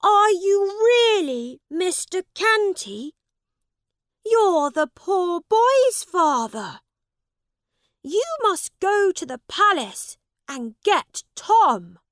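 A shrill young boy prince yells his orders in a slow, high voice that leaps all over the place, as a woman reading puts him on. He turns tearful and begging when no one believes him. The reading sounds close and dry. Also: very animated, no echo, exaggerated voice. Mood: pleading. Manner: shouting, high-pitched, tearful at times. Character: proud young boy prince, commanding then pleading.

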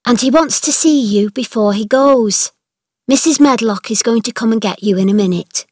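A woman's voice: fairly high pitch, moderate pace, darkish tone, dry recording, studio quality, voice pushed up, lightly acted. A woman voicing a friendly young maid passing on news eagerly.

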